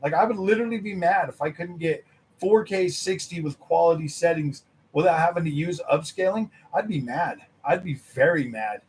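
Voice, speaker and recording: A young man hosting a gaming channel, chatting casually with his viewers, animated and laughing, his voice a little worn from nearly losing it. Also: moderate pace, slight background noise. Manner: animated, laughing, mimics others in a high voice. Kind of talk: casual livestream chat with viewers.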